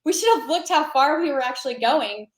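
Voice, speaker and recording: A woman's voice: sits high, expressive, a little fast, roomy sound. A woman guest chatting casually on a podcast, rambling with fillers and restarts.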